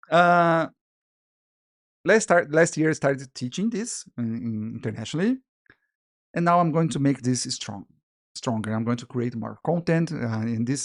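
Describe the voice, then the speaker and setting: A man with a Brazilian Portuguese accent, a native Portuguese speaker, teaching a live online masterclass. He talks in an animated way, restarts his sentences often and keeps checking in with "yeah?" and "guys". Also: mid-range voice. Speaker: illustration business teacher.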